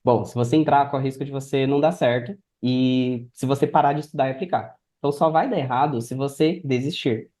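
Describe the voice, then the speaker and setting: A Brazilian man talks as a guest on an interview podcast. His voice sits at a middle pitch with a relaxed, mellow tone. He explains in a conversational, mentoring way. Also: fairly quick, few pauses.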